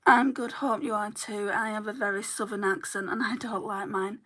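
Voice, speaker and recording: A chatty British woman with a bright, forceful voice talks through her makeup on a livestream. She rambles from product to product and greets viewers by name. Her throat is starting to go a little sore. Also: mid-range voice, steady tone, moderate pace. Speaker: beauty vlogger, livestream host. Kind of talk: casual chat while doing makeup live.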